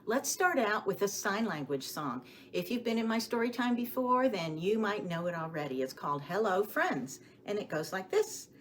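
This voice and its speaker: A woman hosting a story time for young children, speaking to them with questions and expressive emphasis as she shares rhymes and picture books. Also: mid-range voice, normal speed, few pauses, slight background noise.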